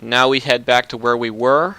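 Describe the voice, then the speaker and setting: A man narrating a video game walkthrough in a relaxed, instructive, matter-of-fact way. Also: mid-range voice, normal speed, slight background noise, poor audio. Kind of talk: casual gameplay commentary.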